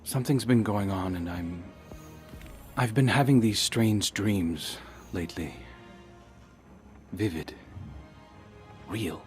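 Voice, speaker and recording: A brooding game hero with a low voice and an unhurried delivery. He is haunted and introspective, pausing between thoughts as music plays clearly underneath. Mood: troubled, wistful. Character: brooding male light bearer hunter haunted by strange dreams. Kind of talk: cinematic video game dialogue.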